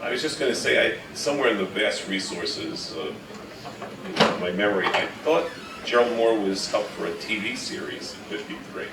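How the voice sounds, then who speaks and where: A man's voice: lowish voice, normal speed. A man in an audience offering tentative thoughts in casual discussion. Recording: echoey room, distant mic, audience noise.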